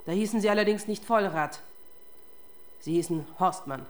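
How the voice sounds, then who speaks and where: A woman's voice: fairly low pitch, normal speed, lightly acted. An actress playing a tough, relentless female police detective in a German radio crime drama, confrontational and sardonic.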